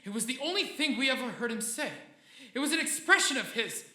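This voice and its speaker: An actor playing an obsessed, excitable and defensive scientist in a theatrical, agitated live radio drama. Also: high voice, fast, exaggerated voice. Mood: feverish excitement. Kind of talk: live 1940s-style radio drama acting. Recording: roomy live stage sound, faint music behind.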